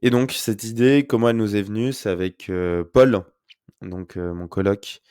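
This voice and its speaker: A young man with a cold talks through his nose in a deep, low voice, his throat a little sore. He chats in an upbeat, rambling way full of fillers, like a host catching up with his listeners. The close mic sounds dry, with no room around him. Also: steady tone, normal speed, darkish tone, clean tone, no echo. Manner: chatty and upbeat, many fillers. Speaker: podcast host. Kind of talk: casual solo podcast talk. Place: at home. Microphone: close podcast mic.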